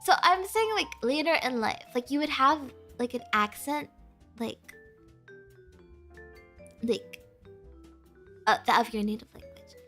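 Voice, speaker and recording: A woman's voice: high-pitched, lively intonation, measured pace, pauses often, a bit bright, faint music behind. A young woman, a native Spanish speaker with an American Valley girl accent, chatting casually with her stream and reading chat, giggly and full of "like". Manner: chatty, filler-heavy "like", giggly, bubbly.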